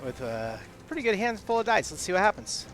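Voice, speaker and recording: A man's voice: high voice, sing-song, a bit bright. A man playing a tabletop game, describing his character's actions hesitantly with many fillers. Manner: slow, hesitant, full of filler words. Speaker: player. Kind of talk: casual tabletop play.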